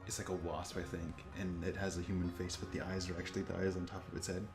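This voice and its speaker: A man's voice: low-pitched, flat delivery, quick pace. A young man casually reading aloud and chatting as a jokey co-host. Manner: jokey, given to giggling. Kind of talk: casual chat and read-aloud. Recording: roomy echo with guitar music behind. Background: guitar music.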